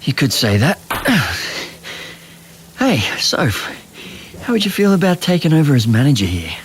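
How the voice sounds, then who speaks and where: An actor playing a weary restaurant boss in an audio drama, sardonic, self-deprecating and hesitant. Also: male voice, fairly high pitch, big pitch swings, measured pace, a little hiss or hum. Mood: forced cheer.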